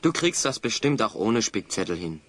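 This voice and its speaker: Animated, keen hero. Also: male voice, on the low side, moderate pace, no echo. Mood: encouraging. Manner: animated, reasoning, urging. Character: keen, encouraging hero who leads his friends. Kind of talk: German children's audio play acting.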